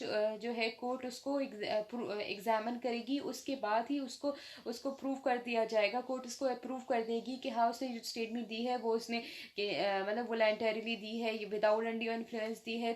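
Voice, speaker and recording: High-voiced Pakistani woman teaching law. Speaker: law lecturer. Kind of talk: explanatory lesson mixing in English legal terms.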